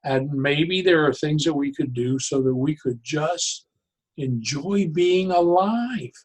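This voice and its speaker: An older man with a somewhat high voice speaks as a guest in a reflective interview. He is deliberate and halting, often doubling back to restart a phrase as he explains his thinking. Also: breathy edge. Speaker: interview guest, psychologist and humor educator.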